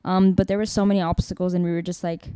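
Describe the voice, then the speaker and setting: A woman in her twenties, co-hosting a podcast and talking in a relaxed, reflective way. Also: on the low side, quick pace, smooth, dry recording, studio quality. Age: 20s. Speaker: podcast co-host. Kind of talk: casual chat.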